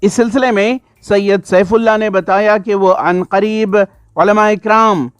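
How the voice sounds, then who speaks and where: A man reading a scripted news report in a formal, measured way, as a reporter. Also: high-pitched, steady tone, smooth, dry recording, clean studio sound, soft background music.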